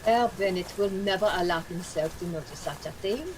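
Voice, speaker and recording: An actress playing a sensible, caring governess who speaks in a measured, formal way in a radio drama. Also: female voice, lowish voice, lightly acted. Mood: confiding, caring. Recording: clear noise and ambience behind.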